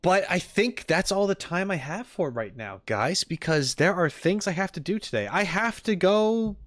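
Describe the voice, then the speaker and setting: A man's voice: high-pitched, animated, moderate pace, a bit bright, no room sound, clean studio sound. A man chatting casually to his viewers as a livestream reaction host.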